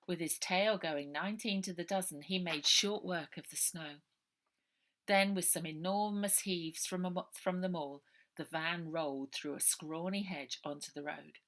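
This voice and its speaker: A woman author reading her own children's novel aloud as expressive narration. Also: lowish voice, a little slow.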